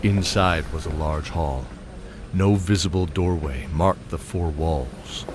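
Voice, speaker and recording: Deep-voiced male fantasy narrator. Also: takes it slowly, background noise. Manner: steady, descriptive storytelling.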